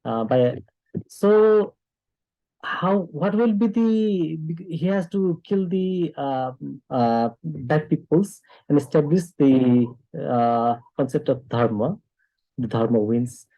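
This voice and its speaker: A man from Nepal with a Nepali accent, speaking off the cuff in a discussion in a halting, hesitant way with frequent pauses. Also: a little high, no echo, highs cut off. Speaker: discussion participant. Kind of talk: unscripted discussion remarks.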